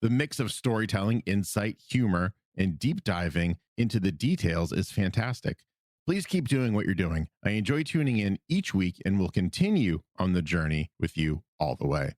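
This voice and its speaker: A man co-hosting a casual fan podcast, getting over a cold, with big pitch jumps and frequent laughs. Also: no echo, studio quality. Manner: animated, quick pitch jumps, laughs often. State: recovering from a cold. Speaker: podcast co-host.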